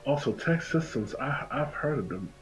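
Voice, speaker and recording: A man in a casual voice chat, speaking haltingly and repeating phrases for emphasis. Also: medium pitch, expressive, low-quality recording. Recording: music clearly behind voice.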